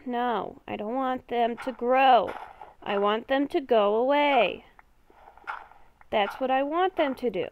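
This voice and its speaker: A woman giving casual gameplay commentary, talking to herself in short, halting phrases. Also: high voice, lo-fi sound, slight background noise. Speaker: gamer recording a roleplay series.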